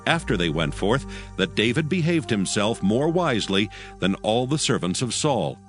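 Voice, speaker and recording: A man giving a solemn, measured dramatic narration of scripture. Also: on the low side. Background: music.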